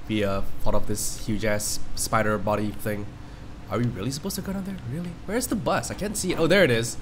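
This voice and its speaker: A man's voice: medium pitch, slight background noise. A man with a stuffy nose from a cold, giving rambling, reactive commentary while he plays a horror game and talks to the screen. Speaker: let's play host. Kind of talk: casual gameplay commentary.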